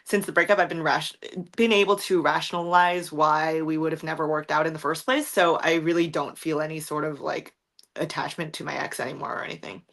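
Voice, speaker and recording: A young woman with a deep voice, chatting frankly in a rambling livestream, answering viewer questions with lots of ums, likes and swearing. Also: fairly even intonation, brisk, gravelly, fairly bright tone. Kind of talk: casual livestream chat.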